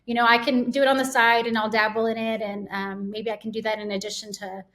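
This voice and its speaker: A woman, a podcast guest telling her story in a casual, animated way. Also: fairly high pitch, fast. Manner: animated storytelling with quoted asides. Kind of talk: casual interview chat.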